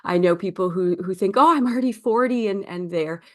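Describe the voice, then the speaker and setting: A woman's voice: medium pitch, brisk, a bit bright. A woman in her forties, a recovery coach and podcast guest, speaking in an earnest, reflective way. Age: 40s. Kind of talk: casual interview chat.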